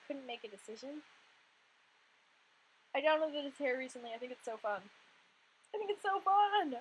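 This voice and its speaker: A young woman in her twenties with a high-pitched voice, a little hoarse from losing it, talks through a gameplay voice-over. She rambles in a chatty, filler-heavy way at a home desk mic. Faint hiss runs underneath. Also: low-quality recording. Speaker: Sims content creator.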